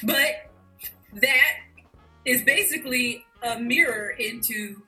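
Woman in her 40s, bright voice, lots of laughing, casual podcast banter. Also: fairly high pitch, slow, faint music behind, rough recording. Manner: laughs often, animated storytelling. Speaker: podcast co-host. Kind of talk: casual chat recapping a TV episode.

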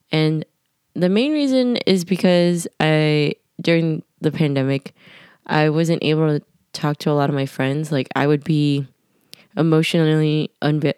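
A woman's voice: low-pitched, darkish tone, clean tone, dry recording, studio quality. A young woman recording a casual voice diary at home late at night, rambling with lots of fillers, her voice a little nasal. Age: young adult. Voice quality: slightly nasal. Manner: rambling, many "like" fillers, scatterbrained. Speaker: podcast diarist.

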